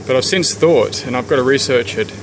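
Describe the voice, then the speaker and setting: A man of medium pitch talks through his renovation plans while filming on a handheld camera. He speaks in a relaxed, unhurried way, often stopping to rethink and restart his sentences. A faint hiss sits under the outdoor recording. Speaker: homeowner giving a renovation tour. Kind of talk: casual thinking-aloud walkthrough. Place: outdoors around a house, under a deck and in a garden. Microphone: handheld camera held by the speaker.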